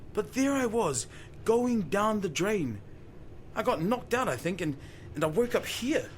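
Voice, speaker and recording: A man's voice: on the high side, pitched above the performer's own voice. A man playing an indignant, bewildered fishing boat skipper with blunt, colloquial speech in an audio story. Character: indignant, bewildered middle-aged male fishing charter skipper. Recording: strong background noise.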